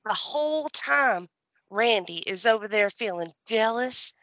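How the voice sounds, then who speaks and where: A woman's voice: on the high side, lively intonation, unhurried, fairly bright tone, no echo, lo-fi sound. A woman playing a scheming, devoted wrestler's wife and manager in a comedic audio play, eager and persuasive.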